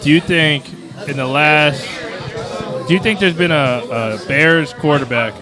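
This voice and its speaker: A man's voice: on the high side, measured pace. A man from Wisconsin hosting a podcast, bantering and joking with strangers in a crowded dive bar. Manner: joking, teasing, repeats himself. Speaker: podcast host. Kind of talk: casual bar chat. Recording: noisy bar with music and crowd. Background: music and crowd chatter.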